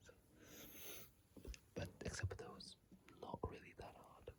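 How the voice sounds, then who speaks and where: An ASMR host whispering casual, rambling game commentary into a phone microphone, often correcting themselves mid-sentence. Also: measured pace. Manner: soft unvoiced whisper, rambling, with self-corrections. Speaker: ASMR gaming channel host.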